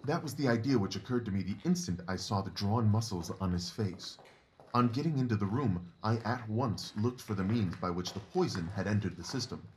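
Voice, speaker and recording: A man's voice: on the low side, expressive, fairly quick, a little hiss or hum, lightly acted, rough recording. A man playing a confident, analytical Victorian detective in an audio drama, assured, precise and didactic in his talk.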